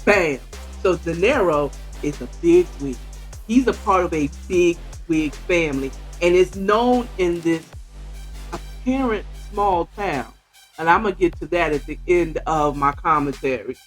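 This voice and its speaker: A woman's voice: lowish voice, expressive, measured pace, soft background music. A woman with an American accent giving casual book commentary in a conversational way, asking rhetorical questions as she retells the story. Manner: conversational, acts out dialogue, asks rhetorical questions. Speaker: podcast host reviewing a book.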